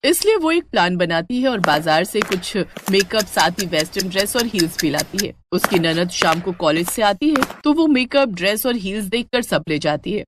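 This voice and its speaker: A woman's voice: mid-range voice, lively intonation, normal speed, few pauses, background noise. A woman narrating a scripted moral story as a storyteller.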